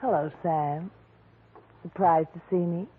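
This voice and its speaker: A woman's voice: fairly low pitch, fairly even intonation, a little slow, loud music behind the voice, lightly acted. A woman playing a glamorous, forward woman from a detective's past, in 1940s radio drama style. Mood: cool, reproachful. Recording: muffled, narrow-band 1940s radio recording.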